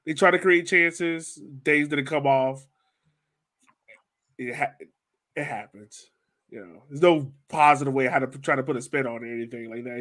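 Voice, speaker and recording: A man's voice: medium pitch, fairly bright tone. A man hosting a sports podcast, talking in an informal, loosely phrased and slangy way. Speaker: podcast host. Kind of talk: casual soccer podcast discussion.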